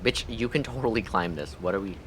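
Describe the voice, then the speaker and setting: A man doing casual, profane, jokey livestream commentary while playing a game, thinking out loud. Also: fairly low pitch, expressive, quick pace, a little hiss or hum.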